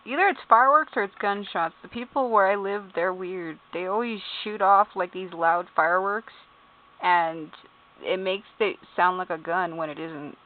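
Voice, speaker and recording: A woman sits at home reading out clumsy character bios, spelling names letter by letter and laughing at the wording. Her voice sits a little low. The sound is narrow and tinny, like a phone line. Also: normal speed. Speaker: YouTuber. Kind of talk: casual commentary reading game character bios.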